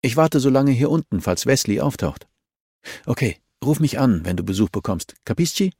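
A man's voice: medium pitch, sing-song, normal speed, a little mellow, husky, no room sound, studio quality, pitched above the performer's own voice. A man voicing a curious, teasing young female colleague in an audiobook, informal and direct. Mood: teasing, caring. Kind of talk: audiobook character dialogue.

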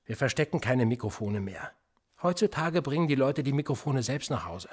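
A man's voice: medium pitch, normal speed, husky. An actor playing a cool, cynical male police interrogator in a radio drama, smug and needling. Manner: smug, needling, lively intonation.

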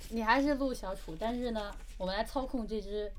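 A woman's voice: on the high side, a little fast, faint music behind. A woman hosting a casual group game, chatting and giving directions. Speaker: host directing a voice-acting game.

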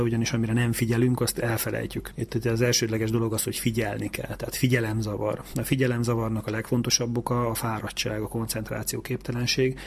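A man's voice: mid-range voice, a little hiss or hum. A man giving structured, didactic explanations as a medical expert in an interview. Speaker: neurologist interviewee.

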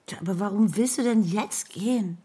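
Woman acting a very breathy, husky, brooding actress. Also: medium pitch, mellow, natural voice. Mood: wry, challenging. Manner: fragmented, deliberate phrasing. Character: melancholy, world-weary actress, wry and brooding. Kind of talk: literary radio drama monologue and dialogue.

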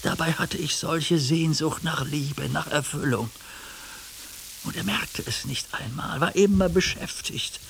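An actor in a German radio play playing an anguished ageing judge who speaks for his neglected heart in a halting, hushed confession. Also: on the high side, gravelly. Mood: weary, yearning. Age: older. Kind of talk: German radio play acting, intimate monologue. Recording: hissy radio play recording.

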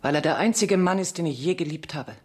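An actress playing a seductive, world-weary, proudly fatalistic condemned spy in a German radio play, speaking theatrically and dropping into murmurs. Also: female voice, sits low, fast talker, darkish tone, slightly rough. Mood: proud, insistent.

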